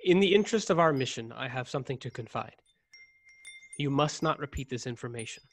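A man's voice: medium pitch, expressive, fairly quick. A young man acting a proud, rigid, volatile starship ensign in a radio play, speaking formally and in clipped phrases. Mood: grave, confiding. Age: young adult. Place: recorded over a video call, close studio mic. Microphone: desktop microphone, headset worn.

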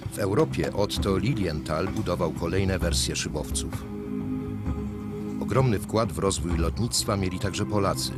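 A very deep male voice reads documentary narration in an even, measured way. Loud orchestral-style music plays behind him throughout the voice-over. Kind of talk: scripted narration.

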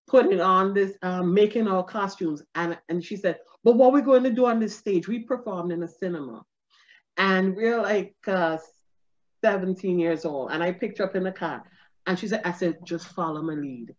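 A middle-aged woman, a comedian, telling stories in an animated interview over a webcam from her home, laughing often. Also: mid-range voice, moderate pace. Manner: expressive, gestures a lot, laughs often. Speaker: comedian and guest. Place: home room with ceiling fan. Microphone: video call webcam. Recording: dull lo-fi video call audio.

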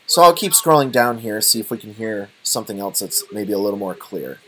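Low-voiced man talking through a tutorial. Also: expressive, fairly quick, a bit bright. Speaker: tutorial presenter. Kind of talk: unscripted software tutorial, thinking aloud.

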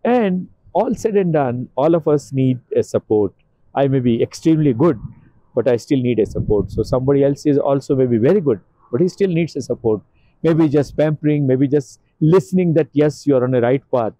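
An older man talks earnestly in a stone courtyard outdoors, leaning on repetition to drive each point home. His voice is mellow and warm, picked up dry and close on a lapel mic. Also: mid-range voice, lively intonation, moderate pace, no echo, poor audio. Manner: earnest, emphatic, repeats himself for emphasis. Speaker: interview guest. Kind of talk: outdoor walking interview.

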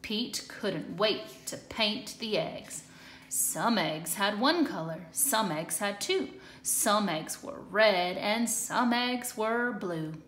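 A woman reading a picture book aloud to children in an expressive, deliberate way, recorded in an echoey room. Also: mid-range voice, a little hiss or hum. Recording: echoey hard-floored room.

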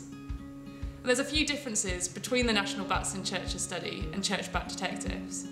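A woman speaks to the camera in a small old church, giving clear, steady guidance. The stone room rings with echo, and loud guitar music plays underneath her. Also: mid-range voice. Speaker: project presenter. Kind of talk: scripted on-camera explanation.

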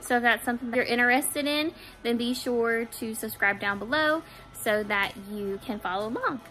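A woman's voice: a little high, normal speed, soft background music. A young woman vlogging from her living room couch, talking casually to a close phone camera with plenty of ums. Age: young adult. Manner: chatty, relaxed, frequent ums. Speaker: home cleaning vlogger. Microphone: phone camera held close.

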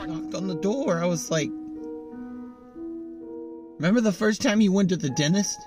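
A man's voice: sits high, normal speed. A man talking casually, telling things in an informal way. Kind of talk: casual chat. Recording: loud music over the voice.

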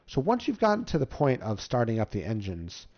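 A man's voice: medium pitch, wildly swooping pitch, fairly quick, darkish tone. A man giving casual, step-by-step commentary as host of a game tutorial. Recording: slightly dull, muffled microphone.

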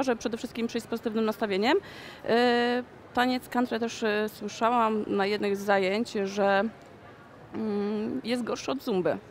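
A young woman, a dance choreographer, answering interview questions in a conversational way with frequent pauses, recorded in a shopping mall. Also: mid-range voice, slight background noise.

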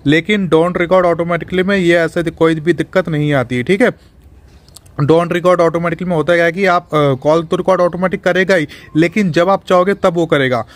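A man with a somewhat high-pitched voice presents a tech tutorial. He explains things step by step in an instructional manner, often repeating a step before moving on. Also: fairly even intonation, normal speed, no room sound. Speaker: tech tutorial channel host. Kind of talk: explanatory phone tutorial narration.

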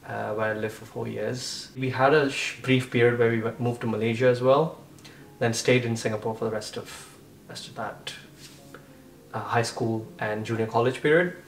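Flat-voiced young man, roomy room. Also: medium pitch, normal speed, gravelly, distant mic, slight background noise. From India. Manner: casual, with fillers and self-corrections. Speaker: interviewee. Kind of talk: casual interview answers. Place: room with bookshelf.

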